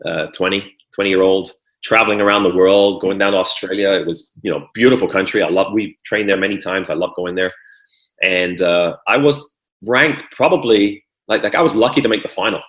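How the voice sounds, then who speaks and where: A man with a very low voice answers interview questions in a relaxed, unhurried way. He is middle-aged, from Guyana and raised in England, and restarts his sentences with repeated words. The room gives his voice a roomy echo. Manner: easygoing, repeats words when starting sentences. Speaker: former Olympic hurdler and trainer, guest. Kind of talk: conversational interview. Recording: echoey room.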